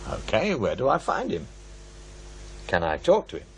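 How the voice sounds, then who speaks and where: An actor playing a dry, skeptical, busy paranormal researcher in naturalistic radio drama dialogue. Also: male voice, fairly low pitch, huge pitch swings, moderate pace, a little hiss or hum. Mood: patient, probing. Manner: dry, questioning, unimpressed.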